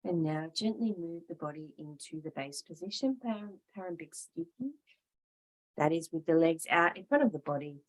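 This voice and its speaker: A woman teaching yoga and guided meditation, calm and measured, leaving pauses between short phrases. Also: sits low, low-quality recording.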